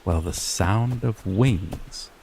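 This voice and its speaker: A man's voice: fairly low pitch, takes it slowly, vocal fry, a little mellow, a little hiss or hum. A man narrating a fantasy story in a dramatic, scripted style.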